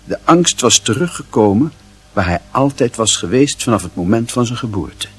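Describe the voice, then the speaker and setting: A man narrating a radio play in a measured, expressive, literary storytelling style. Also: medium pitch, breathy edge, faint music behind.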